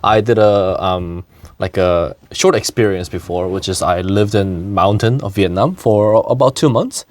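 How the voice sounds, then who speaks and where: A man's voice: low voice, measured pace, darkish tone. A young man from South Korea, hosting a casual talk, who laughs often and talks with many fillers and restarts. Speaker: podcast host. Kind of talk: casual conversation.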